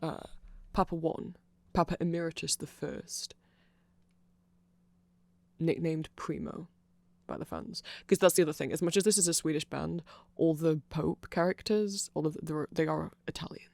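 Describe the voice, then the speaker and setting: Casting: ASMR voice, androgynous mid pitch, gravelly fry, very dry sound, casual and digressive nerd. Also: normal speed, darkish tone, no room sound. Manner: nerdy, self-deprecating, digressive. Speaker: ASMR creator explaining band lore. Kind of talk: casual rambling ASMR explainer.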